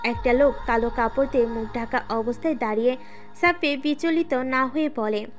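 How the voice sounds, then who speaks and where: A woman's voice: sits high, a little fast, soft background music. A woman reading scripted story narration as the narrator of an audio tale.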